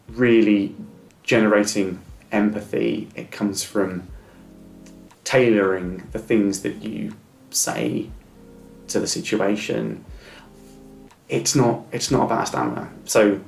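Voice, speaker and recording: A man's voice: lowish voice, vocal fry. A middle-aged man who stammers, giving earnest, encouraging advice in a reflective interview. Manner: stammers with repeated words and restarts. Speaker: interview guest. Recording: echoey room with faint music.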